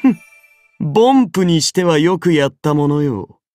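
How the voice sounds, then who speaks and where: Casting: an arrogant anime game king, imperious and condescending, slow, deliberate delivery, swinging widely in pitch. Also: animated, a little mellow, no room sound, studio quality, soft background music. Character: arrogant golden-armored male king, haughty, easily bored. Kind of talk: dramatic anime game character voice acting.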